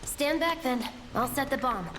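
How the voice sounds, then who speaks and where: A woman's voice: sits high, animated, measured pace, background noise. A woman playing an earnest, spirited young rebel bomb-maker in a video game, intense in delivery. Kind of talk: dramatic video game acting. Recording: long reverb, loud rain and ambience.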